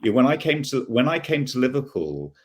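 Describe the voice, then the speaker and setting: A middle-aged man from Kenya hosting a relaxed interview over a video call, talking with frequent restarts and repeated words. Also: mid-range voice, fairly quick. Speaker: podcast host. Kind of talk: casual interview chat. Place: home room with guitars.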